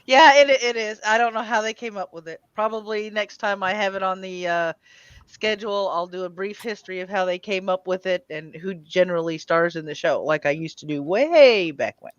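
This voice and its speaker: Female livestream host, medium pitch, casual playful self-talk, dry lo-fi sound. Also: a little fast, no room sound. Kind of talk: casual chat. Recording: dull, slightly lo-fi audio.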